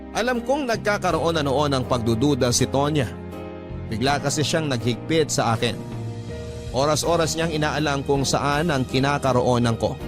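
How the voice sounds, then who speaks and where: A man's voice: mid-range voice, lightly acted. A man playing a remorseful young lover, narrating his secrets in a scripted first-person radio drama. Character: remorseful young man confessing a double love life. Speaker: letter-sender narrator.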